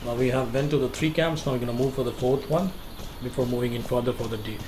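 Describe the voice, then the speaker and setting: A man's voice: mid-range voice, fast, noisy background. A man giving casual, explanatory commentary during a game walkthrough, with loose grammar. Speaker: gameplay commentator.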